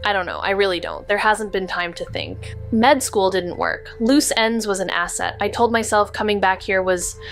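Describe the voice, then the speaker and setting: A woman's voice: mid-range voice, big pitch swings, normal speed, few pauses, soft background music. An actress playing a practical, guarded female amateur sleuth in an audio drama, terse, wry and casual. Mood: uncertain.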